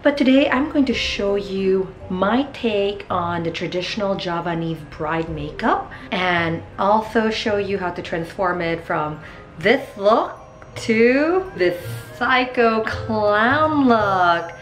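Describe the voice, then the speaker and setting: A young woman from Indonesia with a hoarse voice, talking through a makeup tutorial step by step in a chatty, instructive voiceover. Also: mid-range voice, takes it slowly, soft background music. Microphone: close voiceover mic.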